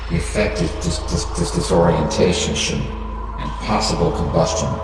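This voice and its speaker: An actor playing a cold, eerie envoy of a secretive merchant house in a video game cutscene, speaking in clipped, formal, detached, halting phrases. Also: male voice, sits low. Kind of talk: scripted video game cutscene acting, mission briefing. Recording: distant, echoing voice under loud music and noise.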